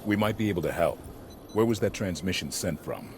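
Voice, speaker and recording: A composed squad leader of super-soldiers in a video game cutscene. His voice is very deep with lively movement, yet formal, terse and businesslike, over clear background noise. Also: a little fast. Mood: calm, polite.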